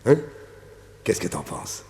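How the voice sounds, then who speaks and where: An actor playing a sadistic, vengeful gang boss who sneers with mock politeness in a detective radio serial. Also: male voice, takes it slowly, a little hiss or hum, lightly acted. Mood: gloating, mocking.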